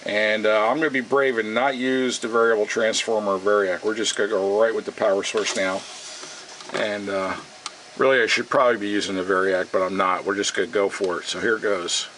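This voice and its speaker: A man with a fairly low voice talks through a repair in a casual, folksy way. He is unhurried and thinks aloud as he goes. The camera microphone in his shop picks up a light layer of background noise. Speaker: radio repair vlogger. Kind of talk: casual narrated repair demonstration. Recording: steady noisy camera sound in workshop.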